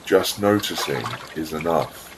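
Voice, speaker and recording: A man with a very low voice reads a guided visualisation at a very slow pace. His delivery is calm and soothing, with room between phrases. Trickling water ambience runs clearly behind him. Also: background noise. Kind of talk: guided meditation, scripted narration.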